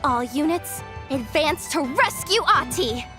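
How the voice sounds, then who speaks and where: An excitable young female guild worker from a video game. Her voice is extremely high and swings wildly with dramatic exclamations. Music plays clearly behind her. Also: measured pace. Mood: commanding. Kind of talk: animated video game voice acting.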